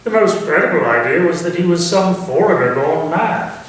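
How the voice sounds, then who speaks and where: A man playing an urbane, theatrical Victorian gentleman with cultivated, deliberate phrasing in a staged reading. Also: a little high, lively intonation, lightly acted. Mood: intrigued. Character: Sir Walter, urbane Victorian gentleman, theatrical, eagerly intrigued. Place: echoey room or small theatre, staged reading. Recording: very echoey, distant stage recording, faint noise.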